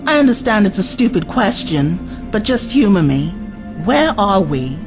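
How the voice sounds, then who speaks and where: A woman's voice: medium pitch, sing-song, measured pace, music in the background. An actress playing a feisty, indignant and outspoken woman time traveller in an audio drama. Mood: puzzled, thinking.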